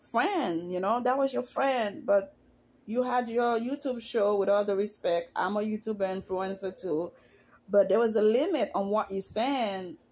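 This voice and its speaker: A woman from Haiti, giving her opinions on reality TV in a casual, chatty vlog and climbing in pitch when she gets indignant. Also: darkish tone. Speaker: YouTuber commenting on reality TV.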